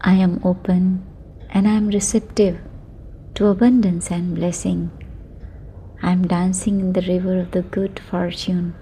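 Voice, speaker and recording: Slow, mellow woman guiding sleep meditation. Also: lowish voice, little pitch movement, a little hiss or hum. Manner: soothing, unhurried, short phrases with long pauses. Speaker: meditation guide. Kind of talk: guided sleep meditation with affirmations.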